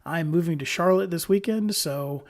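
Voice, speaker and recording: A hoarse-voiced man leads a relaxed software webinar from his home, speaking in an easygoing, upbeat way. He addresses his viewers directly, like a friendly trainer on a livestream. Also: on the high side, normal speed. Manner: chatty and upbeat, talks straight to viewers. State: hoarse from allergies. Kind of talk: casual live-streamed tutorial.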